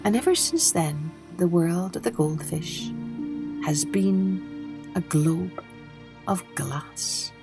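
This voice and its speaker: A woman storyteller hosting for children, reading scripted tales with expressive, deliberate phrasing. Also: fairly low pitch, vocal fry, music in the background. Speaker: storytelling podcast host.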